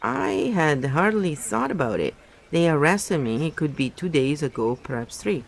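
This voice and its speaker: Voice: mid-range voice, big pitch swings, normal speed, gravelly. A reader voicing a mild, distracted, pedantic middle-aged male poet held in a prison cell. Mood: wondering. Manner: mild, distracted, fussily precise. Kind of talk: audiobook-style reading aloud.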